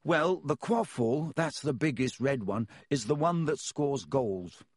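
A man doing a weary schoolboy, flat and patient, explaining rules, storybook figure. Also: mid-range voice, unhurried, slightly rough, dry recording, clean studio sound, voice pushed up. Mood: resigned. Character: young schoolboy, tired and resigned. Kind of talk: audiobook character voice.

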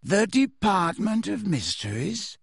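A man voicing a dreamy, eccentric teenage girl in an audiobook, serene, vague and unhurried. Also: on the high side, big pitch swings, husky, no echo, clean studio sound, voice pushed up, full character voice. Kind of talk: audiobook character voice.

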